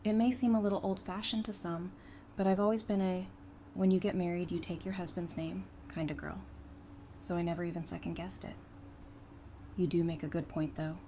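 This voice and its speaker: A woman's voice: lowish voice, steady tone, brisk, dark tone, slight background noise, close to an everyday voice. A woman playing a feisty, affectionate, independent bride in an audio drama, speaking in a casual, frank, teasing way. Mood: warm, candid.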